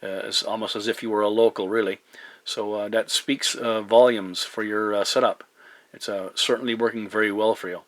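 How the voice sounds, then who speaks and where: A middle-aged man chatting as a radio operator, courteous and measured, with hesitant phrasing and operator jargon. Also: lowish voice, fairly even intonation, dry recording. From Newfoundland, Canada. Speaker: amateur CB radio operator hosting a video contact.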